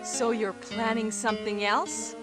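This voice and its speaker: A woman's voice: a little high, little pitch movement, measured pace, lightly acted. An actress playing a determined young female operative in a cheesy nineties video game, stilted and accusatory. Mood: suspicious, accusing. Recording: game audio under loud music.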